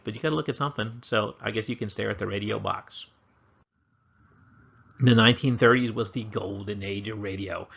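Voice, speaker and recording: American guy teaching history, chatty, lots of ums, kinda gravelly. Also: mid-range voice, highs cut off. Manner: relaxed, explaining with fillers and restarts. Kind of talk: casual history lesson.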